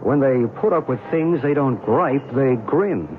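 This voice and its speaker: An actor playing a knowing, enigmatic American narrator and believer in an old-time radio drama, measured and assured. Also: male voice, mid-range voice, expressive, close to an everyday voice. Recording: tinny, noisy old radio recording.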